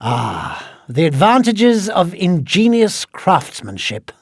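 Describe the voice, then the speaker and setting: A precise, formal British spy quartermaster in a video game. His voice is high and lively in pitch. He briefs an agent with pride and dry wit. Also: slow, breathy edge, dry recording, full character voice. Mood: wry.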